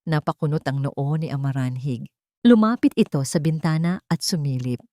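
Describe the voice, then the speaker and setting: Low-voiced woman narrating a story. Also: moderate pace, dark tone, no echo, clean studio sound. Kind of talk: scripted dramatic narration of a love story.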